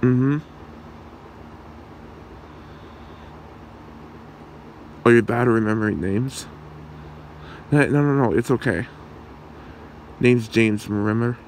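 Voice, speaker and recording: A man's voice: mid-range voice, slight background noise, natural voice. A man playing a shy, awkward young man smitten with his friend, speaking hesitantly in an ASMR roleplay. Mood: flustered, friendly. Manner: hesitant, halting, soft-spoken.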